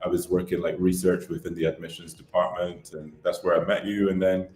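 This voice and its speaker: A man in his thirties from Barbados, a podcast guest telling his life story in a relaxed, rambling way with lots of fillers. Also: sits low, fairly quick, echoey room. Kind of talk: casual interview chat.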